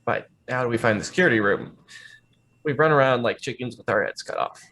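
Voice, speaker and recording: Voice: medium pitch, expressive, fairly quick, poor audio. A tabletop game player chatting casually, laying out plans with wry humor. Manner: wry, planning out loud.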